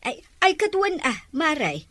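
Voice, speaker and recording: A woman's voice: sits high, wildly swooping pitch, slow pace, heavily acted. A woman with an Ilocano accent playing a village woman who owes money, chatting with her neighbor in easygoing banter in a comic drama. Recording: loud background music. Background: loud music.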